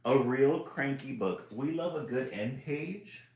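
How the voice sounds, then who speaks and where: A man's voice: mid-range voice, animated, measured pace, darkish tone, reverberant. A man hosting a casual read-aloud stream, chuckling and greeting viewers by name as he reads a children's book.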